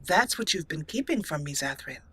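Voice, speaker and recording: A woman's voice: low voice, expressive, a little fast, bright, forceful tone, slightly rough, exaggerated voice. A woman voicing her young female elf mage hero, speaking firmly and earnestly.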